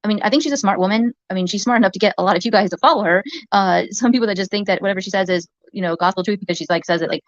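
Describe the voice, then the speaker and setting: A woman with a soft voice, rambling hesitantly in a clip from a livestream. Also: mid-range voice, very fast, few pauses, no echo, muffled recording. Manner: hesitant, many fillers and restarts.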